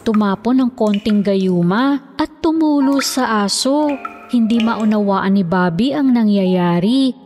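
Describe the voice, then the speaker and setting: A woman narrating a scripted children's fairy tale as an expressive storyteller. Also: mid-range voice, unhurried, soft background music.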